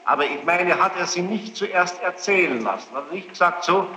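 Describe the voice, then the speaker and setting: A man questioning a witness as a judge in formal, halting German phrasing, insistent and reproachful. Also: sits high, expressive, bright, forceful tone. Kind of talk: courtroom interrogation, spontaneous formal speech. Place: large echoing courtroom. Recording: dull, noisy archive courtroom tape, echoey hall.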